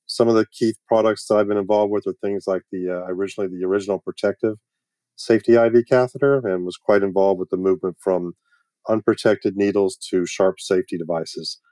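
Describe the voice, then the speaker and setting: A man talks as an interview guest in halting, measured phrases. His voice is low and smooth, with little movement in pitch. The style is conversational. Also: steady tone, mellow, no echo. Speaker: guest, medical products industry veteran. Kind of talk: conversational self-introduction.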